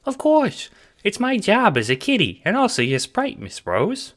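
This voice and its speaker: Swooping, creaky little ghost cat. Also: male voice, sits high, huge pitch swings, a little slow, a bit bright, exaggerated voice. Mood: eager, sweet. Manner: earnest, chirpy, polite. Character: sweet eager pink ghost cat sprite, childlike helper. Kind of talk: audio drama acting.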